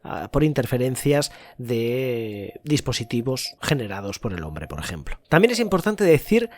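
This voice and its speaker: A man's voice: medium pitch, very animated, normal speed, a little mellow. A man with an accent from Spain hosting a podcast, explaining things point by point in a didactic way. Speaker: podcast host. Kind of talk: podcast explainer on amateur radio.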